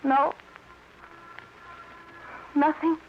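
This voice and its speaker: An actress playing a desperate, proud young woman in an old radio crime melodrama, with intense, deliberate phrasing. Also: sits high, little pitch movement. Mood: anguished. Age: young adult. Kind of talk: 1950s American radio melodrama acting. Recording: dull old radio recording, faint noise.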